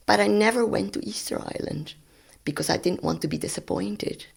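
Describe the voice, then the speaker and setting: A woman in her sixties whose first language is Spanish, a guest scientist telling stories of her work in a relaxed, animated way. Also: medium pitch, moderate pace, creaky, breathy edge. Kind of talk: conversational storytelling.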